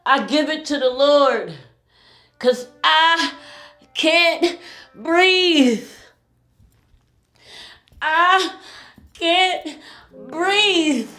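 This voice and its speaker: A woman performing spoken word poetry with a slow, pleading, mournful delivery. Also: sits high, sharp tone, roomy sound, faint music behind.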